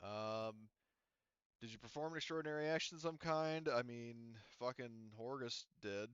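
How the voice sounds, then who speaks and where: Smooth-voiced man running a tabletop game. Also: mid-range voice, moderate pace, fairly bright tone, no echo, muffled recording. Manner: teasing, sweary. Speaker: game master. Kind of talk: casual tabletop game chat.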